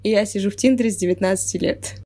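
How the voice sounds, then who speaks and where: A woman's voice: medium pitch, rapid-fire, dark tone. A woman of twenty-one co-hosting a podcast in casual, informal chat, a little self-deprecating. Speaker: podcast co-host.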